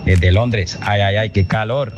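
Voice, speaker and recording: A man's voice: fairly low pitch, unhurried. A man from Cali, Colombia, with a Cali accent, calling in to a radio show, chatty and full of local slang. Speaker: caller. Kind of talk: phoned-in voice note. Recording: dull lo-fi phone audio with noise.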